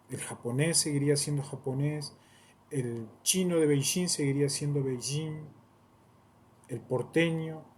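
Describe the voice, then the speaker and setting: A man speaks in a solemn, declaiming monologue, circling back and repeating phrases to drive them home. His voice sits at an ordinary middle pitch. A faint hiss lies under the recording. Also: a little mellow. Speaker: self-styled theologian. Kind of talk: rambling solo monologue.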